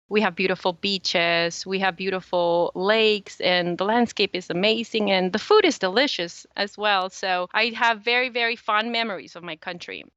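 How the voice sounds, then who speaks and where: A woman's voice: mid-range voice, sharp tone, clear, resonant tone, dry recording. A woman from El Salvador, a native Spanish speaker, giving earnest, upbeat answers as a guest in a conversational interview. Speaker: podcast guest, small business owner. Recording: slightly dull, lo-fi audio.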